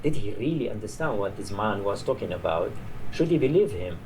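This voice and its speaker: A man reading a literary short story aloud at a live event, measured with the odd stumble. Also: on the low side, expressive, a little mellow. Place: artist-run bar, live salon event. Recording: noisy live reading in a bar.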